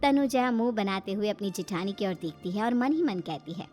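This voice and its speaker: A woman's voice: medium pitch, lively intonation, brisk, a little mellow, soft background music. A woman storyteller reading scripted narration of a moral family tale.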